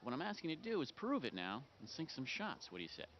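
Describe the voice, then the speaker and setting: Carnival barker: slick, showy flatterer, wildly animated delivery, screen drama. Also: male voice, on the high side, fast talker, dull audio, a little hiss or hum. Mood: coaxing, flattering. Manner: showy sales patter, announcing shots. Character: slick, fast-talking, flattering carnival game barker man. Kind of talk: naturalistic screen acting.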